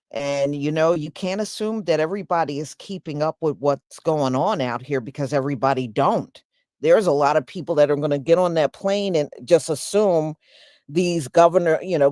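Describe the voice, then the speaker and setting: A woman's voice: low voice, a little mellow, dry recording, studio quality. An American woman giving casual opinion commentary, talking colloquially and emphatically straight to her viewers. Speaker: host commentator.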